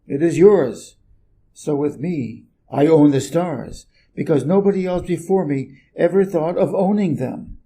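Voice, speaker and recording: A man's voice: a little high, a little slow, warm tone. A man voicing a busy, self-important, irritable middle-aged businessman from a storybook, brusque and emphatic. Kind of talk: storybook character reading.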